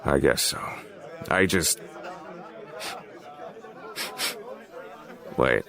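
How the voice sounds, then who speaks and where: An actor playing a proud, hot-tempered crime boss in an audio drama, gruff and defiant in manner. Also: male voice, rumbling low, measured pace, a crowd in the background, heavily acted. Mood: troubled. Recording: loud dramatic music behind.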